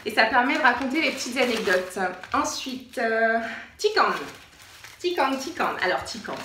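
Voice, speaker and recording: A woman's voice: on the high side, lively intonation, normal speed. A woman from France with a French accent, chatting casually as a vlogger, informal and repeating words for emphasis. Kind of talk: casual chatty show-and-tell vlog. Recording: roomy echo, distant mic, faint noise.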